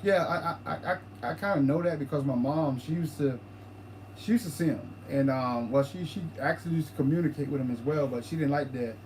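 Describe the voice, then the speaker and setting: A man co-hosting a paranormal livestream, talking casually, halting and repeating words as he explains his ideas. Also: fairly high pitch, darkish tone, a little hiss or hum, poor audio.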